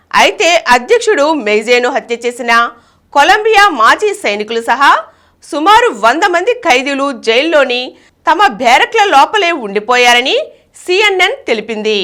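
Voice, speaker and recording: A woman news reader delivers scripted bulletins. Her voice sits high and has a bright, forceful edge. Her intonation is animated, rising and falling widely through the copy.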